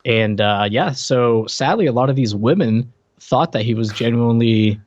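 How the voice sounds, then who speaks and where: A man's voice: medium pitch, normal speed, a little mellow. A man co-hosting a podcast, chatting casually with a wry, joking manner about a show. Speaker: podcast co-host. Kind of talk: casual conversational show commentary. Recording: roomy, slightly dull lo-fi audio.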